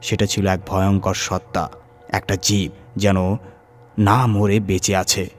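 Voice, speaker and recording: Horror narrator and host: male, low-pitched, dramatic scripted reading over music. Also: flat delivery, unhurried.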